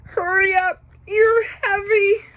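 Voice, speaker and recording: A woman's voice: very high-pitched, little pitch movement, slow pace, a bit bright, pitched above the performer's own voice, heavily acted, low-quality recording. A woman voicing an anxious, well-meaning young male snake from a children's storybook, in a nervous, fretful manner. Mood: straining, exasperated.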